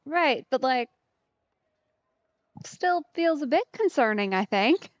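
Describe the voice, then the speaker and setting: A woman's voice: high-pitched, animated, slow pace, dry recording, dull audio. A woman giving casual, chatty let's play commentary, reacting aloud as a game streamer.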